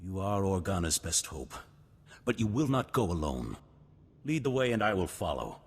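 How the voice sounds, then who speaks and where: An actor playing a noble, resolute duke in a video game, husky, formal and stately in delivery. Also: male voice, low-pitched, very animated, moderate pace, heavily acted. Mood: solemn, stirring. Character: noble, resolute older duke, solemn war leader. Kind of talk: cinematic video game voice acting. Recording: long hall reverb, music behind.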